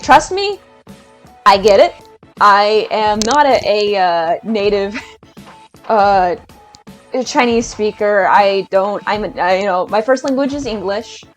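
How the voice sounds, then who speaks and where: A woman's voice: medium pitch, lively intonation, slow pace, faint music behind. Chatty enthusiastic woman ranting casually. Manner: chatty and enthusiastic, hesitant with restarts. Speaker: YouTuber. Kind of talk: casual video-essay rant.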